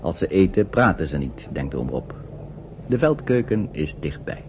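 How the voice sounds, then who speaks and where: A man's voice: deep voice, sing-song, normal speed, a little hiss or hum. A man narrating a radio play in a scripted storytelling style. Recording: dull old radio recording. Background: music.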